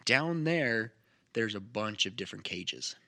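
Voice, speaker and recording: A man narrating a tabletop game as game master, describing scenes in a halting way. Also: on the low side, expressive, vocal fry, sharp tone. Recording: noticeable background noise.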